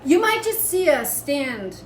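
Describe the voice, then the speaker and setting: A woman's voice: high voice, sing-song, slow. An American woman co-hosting a homestead vlog, chatting casually and reading viewer comments. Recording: roomy, distant mic, background noise.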